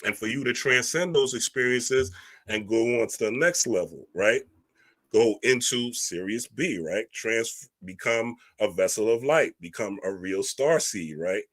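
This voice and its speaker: Emphatic, bright-voiced male spiritual speaker. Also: medium pitch, measured pace. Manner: emphatic, preachy, keeps asking "right?"; streetwise slang, swears casually. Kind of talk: casual spiritual monologue.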